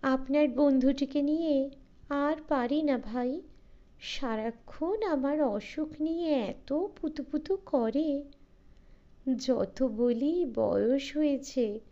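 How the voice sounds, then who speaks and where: A woman's voice: sits high, extremely slow, dark tone, dull audio, voice pushed up, heavily acted. A woman voicing a talkative middle-aged wife who complains frankly and openly. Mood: complaining.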